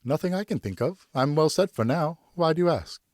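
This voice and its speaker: A genteel married French noblewoman, as a male narrator puts her on in an audiobook. The voice is lifted well above a man's low talk, prim and airy. She stays composed and breezily unapologetic, in a dry recording. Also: expressive, a little fast, darkish tone, no echo, natural voice. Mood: casual, composed. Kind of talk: audiobook character dialogue.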